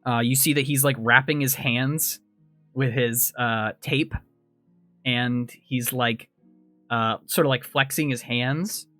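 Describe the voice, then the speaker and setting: A man's voice: mid-range voice, steady tone, slow pace, a bit bright, no room sound, faint music behind. A man narrating a tabletop game as game master, describing scenes in a conversational, improvised way.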